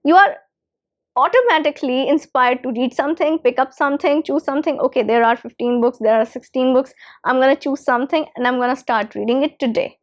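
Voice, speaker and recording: High-voiced woman teaching spoken English. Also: fairly quick. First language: Hindi. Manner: emphatic, repeats key phrases. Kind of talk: instructional talk to camera.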